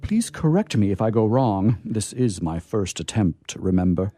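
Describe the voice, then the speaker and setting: An actor playing a naive, courtly angel in a comic radio play, with formal, florid, hesitant phrasing. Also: male voice, lowish voice, expressive, moderate pace, mellow. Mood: eager wonder. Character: naive, courtly, curious male angel discovering earthly pleasures.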